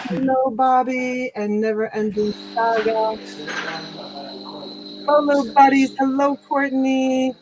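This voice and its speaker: A woman hosts a casual live chat with a fairly high voice. She talks in a loose, chatty way, full of fillers and restarts. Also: darkish tone, a little hiss or hum, rough recording. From Italy. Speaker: livestream host.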